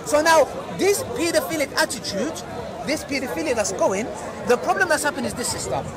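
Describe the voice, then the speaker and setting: High-voiced preacher debating outdoors. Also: male voice, lively intonation, brisk. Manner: persuasive, ends points with "yeah?" tag questions. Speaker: street preacher. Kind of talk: open-air debate with onlookers. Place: outdoor public square with a crowd. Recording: loud crowd noise outdoors. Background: loud crowd chatter.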